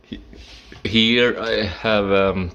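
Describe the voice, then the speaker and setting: Slow, low-voiced man touring his apartment. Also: fairly even intonation, vocal fry, lo-fi sound, noisy background. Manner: halting, hesitant, with restarts and playful asides. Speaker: vlogger giving a home tour. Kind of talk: casual home tour narration. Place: small apartment bathroom and kitchen indoors. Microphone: action camera worn on the body.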